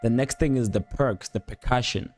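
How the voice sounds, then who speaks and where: A young man giving a casual, unscripted music production tutorial, rambling playfully in slangy speech with excited interjections. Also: medium pitch, normal speed. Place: indoor home studio at a computer. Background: loud instrumental beat and instrument sounds playing.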